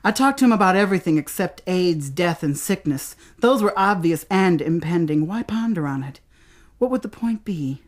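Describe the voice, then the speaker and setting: Low-voiced American woman, dramatic spoken-word storyteller. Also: lively intonation. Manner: theatrical, with dramatic pauses and emphasis.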